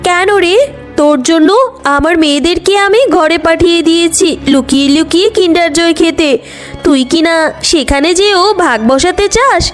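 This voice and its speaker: A woman's voice: very high-pitched, normal speed, soft background music. A woman voicing a harsh, nagging aunt who bullies her niece in a cartoon. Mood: scolding. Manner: nagging, sharp-tongued. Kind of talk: cartoon voice acting.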